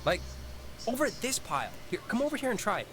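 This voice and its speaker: An excitable, mischievous teenage boy in a naturalistic game drama. His pitch jumps around as he jokes in loose slang, and music runs clearly behind him. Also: fast. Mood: eager, explaining. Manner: animated, joking, slangy. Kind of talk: naturalistic video game dialogue acting.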